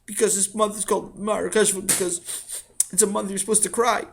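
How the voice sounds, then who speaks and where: A man's voice: high voice, little pitch movement, a little fast, gravelly, noisy background, pitched above the performer's own voice. A man voicing a stubborn, cheeky little boy with a whiny, insistent, childish delivery in a told story. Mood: tearful, earnest.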